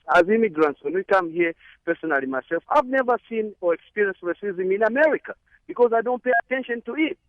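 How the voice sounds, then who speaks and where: A man's voice: fairly high pitch, no room sound. A man from Zambia calling in to a radio show, speaking earnestly and hesitantly. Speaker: caller. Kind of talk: radio call-in. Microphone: phone line. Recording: muffled phone line.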